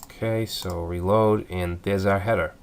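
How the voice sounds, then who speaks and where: A man with a stuffed-up voice from a cold, calmly explaining web code step by step in a screencast tutorial. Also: deep voice, a little slow, vocal fry, a little hiss or hum. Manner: methodical, thinking aloud with fillers. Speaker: coding tutorial instructor.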